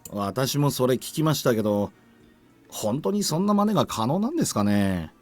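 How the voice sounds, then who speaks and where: A man's voice: mid-range voice, big pitch swings, quick pace, soft background music, lightly acted. An actor playing a deferential, doubtful male police colleague in a Japanese visual novel.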